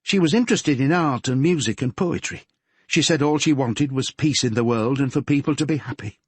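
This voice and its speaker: A tearful, polite Yorkshire mother in middle age, as a male narrator puts her on, raised well above his usual pitch. Her voice is soft at the edges and a little husky, with a gentle, fretful and homely way of speaking. The reading is dry and close. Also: a little fast, dry recording, natural voice. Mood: sorrowful. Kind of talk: audiobook character voice.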